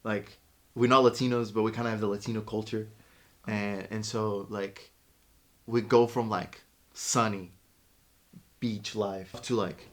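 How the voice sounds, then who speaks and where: A young man from Portugal chats casually on a podcast, telling stories at an easy pace. His voice sits low, and he leans on fillers as he goes. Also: unhurried, long pauses. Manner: relaxed storytelling with lots of fillers. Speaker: podcast co-host. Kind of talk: casual chat.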